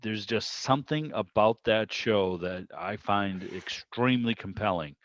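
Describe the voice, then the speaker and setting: Male meeting host, lowish voice, rambling and enthusiastic casual talk, muffled video-call sound. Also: a little slow. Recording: dull, roomy video-call audio.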